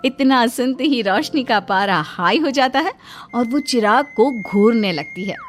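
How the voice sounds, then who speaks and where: Woman, story narrator, animated intonation, fairly high voice, soft music behind. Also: measured pace. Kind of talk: scripted cartoon story narration.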